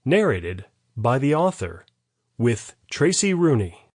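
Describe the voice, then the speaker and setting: Animated man narrating, close dry recording. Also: medium pitch, slow, no room sound. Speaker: author narrating own audiobook. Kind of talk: scripted narration.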